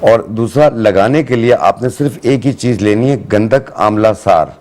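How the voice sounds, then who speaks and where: A man, a hakeem on a TV morning show, calmly giving remedies step by step. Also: on the low side, moderate pace. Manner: calm, step-by-step instructive. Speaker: hakeem giving herbal advice. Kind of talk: studio chat, giving remedies. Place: TV studio.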